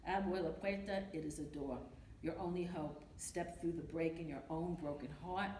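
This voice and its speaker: A woman's voice: deep voice, fairly even intonation, normal speed, warm tone. Older Brooklyn woman reading poetry. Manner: emphatic, rhythmic, repeating refrains. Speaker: poetry reader. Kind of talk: reading a poem aloud. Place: library meeting room. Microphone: podium mic from a far camera. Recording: echoey room with faint noise.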